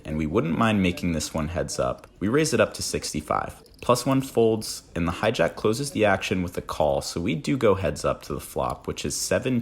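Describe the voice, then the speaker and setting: A man's voice: deep voice, slightly rough. A man narrating a poker vlog in a scripted voice-over, with wry, self-deprecating delivery. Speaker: poker vlogger narrating hands.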